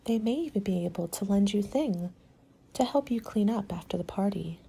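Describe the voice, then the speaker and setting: A woman doing a soft-spoken ASMR roleplay as a helpful party planner, gentle and measured, often slipping into a whisper. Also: medium pitch, lively intonation, vocal fry, slightly rough.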